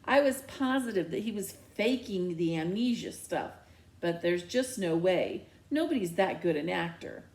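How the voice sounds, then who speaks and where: Teen daughter for a storybook, read by a woman: defensive, stubborn, earnest, with very animated intonation. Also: fairly low pitch, moderate pace. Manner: earnest, protesting.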